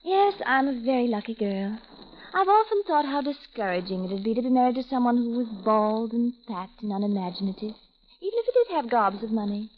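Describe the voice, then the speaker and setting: An actress playing a jealous young wife who coos barbed, sarcastic remarks, in 1940s radio acting on a dull, faintly hissing old recording. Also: female voice, on the high side, expressive, fairly quick, lightly acted. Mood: needling. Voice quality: sweetly sarcastic, cooing insults.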